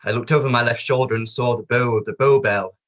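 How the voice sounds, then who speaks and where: A man reading the part of a sombre witness at a disaster inquiry in a play table read, in a measured, plain manner. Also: medium pitch, steady tone, fairly bright tone, close to an everyday voice.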